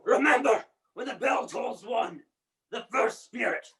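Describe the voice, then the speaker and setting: A man acting a tormented, ominous ghost of a dead business partner in a radio play, proclaiming dramatically with a hoarse, strained wail. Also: very high voice, a little slow, fairly bright tone, full character voice. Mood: solemn warning.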